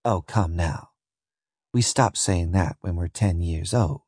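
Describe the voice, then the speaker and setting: A young enslaved woman, voiced by a male audiobook reader, speaks in a soft, husky voice. She is scornful and worn down, sneering with bitter resignation. The sound is close and dry. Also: lowish voice, animated, slow, no room sound, exaggerated voice. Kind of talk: audiobook character voice.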